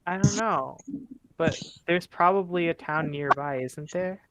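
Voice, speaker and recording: A man's voice: on the high side, measured pace, a crowd in the background, low-quality recording. A man playing a tabletop game, chatting casually in and out of character, hesitant and repeating words. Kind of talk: casual roleplay chat.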